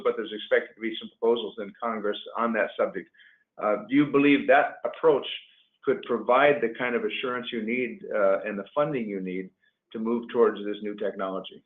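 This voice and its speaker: A man moderating a policy webinar, putting formal questions in careful, halting phrases, over an echoey, phone-like connection. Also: medium pitch. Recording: echoey, tinny phone-like line.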